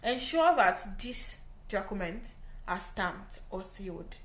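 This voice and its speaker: A woman's voice: mid-range voice, very animated, slow, a bit bright. A young woman with a Nigerian English accent talks to camera in a casual, explaining way. She repeats herself for emphasis and keeps asking "right?" Her voice sits mid-range and carries a noticeable roomy echo. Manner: chatty, repeats points, often says "like" and "right?". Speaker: vlogger giving visa advice.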